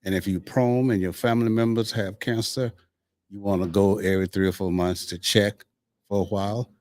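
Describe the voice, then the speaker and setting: A man speaking as a doctor and interview guest, giving health advice in a measured, instructive way. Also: on the low side, steady tone, a little mellow, no room sound.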